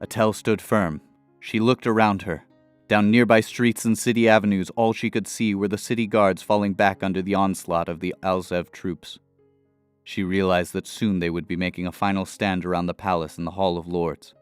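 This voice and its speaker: Deep, smooth male fantasy narrator. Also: flat delivery, dry recording, soft background music. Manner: dramatic, measured storytelling. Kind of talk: scripted fantasy audio narration.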